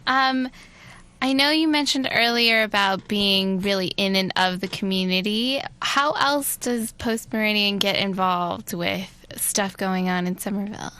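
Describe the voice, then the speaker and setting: A woman's voice: mid-range voice, unhurried, sharp tone. A woman interviewing guests casually as a host on college radio.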